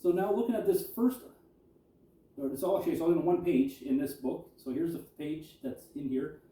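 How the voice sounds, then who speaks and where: A man's voice: on the high side, expressive, normal speed, dark tone. A man presenting as a collector and historian, reading articles aloud and adding informal commentary. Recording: echoey room, distant mic.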